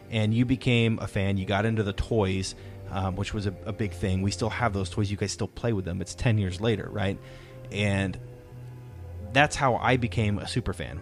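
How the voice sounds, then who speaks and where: Low-voiced man chatting over music. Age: 30s. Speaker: podcast host, father talking with his children. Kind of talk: casual chat, personal storytelling.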